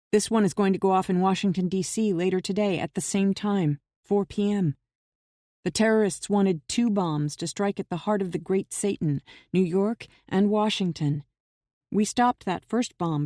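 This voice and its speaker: A woman voicing a calm, intense young woman who sees dark visions, speaking with grave, matter-of-fact firmness in an audiobook. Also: mid-range voice, no echo, studio quality, natural voice. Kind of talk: audiobook character reading.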